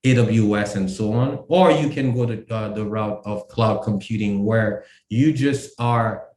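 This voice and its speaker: Lowish voiced guy from haiti, lots of uhs and restarts, echoey room. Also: darkish tone, a little hiss or hum. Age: adult. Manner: halting, many fillers and restarts. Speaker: guest, company co-founder. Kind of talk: casual interview talk.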